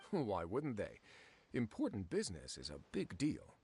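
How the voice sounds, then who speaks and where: A man voicing a confident, teasing prison administrator in an anime game, with a smooth, wry, casual manner. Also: fairly low pitch, huge pitch swings, unhurried, a little mellow, slight background noise, exaggerated voice. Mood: reassuring.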